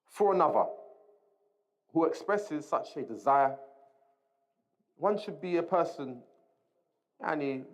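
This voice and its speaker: A man giving an informal Islamic lesson, explaining point by point in a conversational way, repeating key phrases and checking in with short tag questions. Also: on the high side. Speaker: religious teacher reading and explaining a book.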